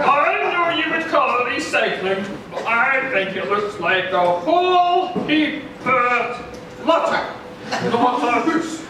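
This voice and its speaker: A man's voice: very high voice, heavily acted. A man voicing a disapproving, grumbling islander puppet in a live children's show, talking in colloquial complaints. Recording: noisy echoey hall, far from mic.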